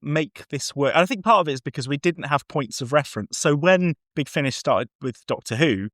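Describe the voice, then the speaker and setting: A man's voice: fairly high pitch, expressive, a little fast, fairly bright tone, no room sound, studio quality. A man co-hosting a podcast, discussing audio drama in a casual, discursive and enthusiastic way.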